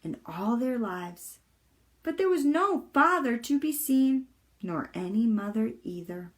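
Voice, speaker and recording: Slow, animated woman reading a storybook. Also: fairly high pitch. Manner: deliberate, expressive storytelling. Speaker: volunteer storybook reader. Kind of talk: expressive read-aloud of a children's chapter book.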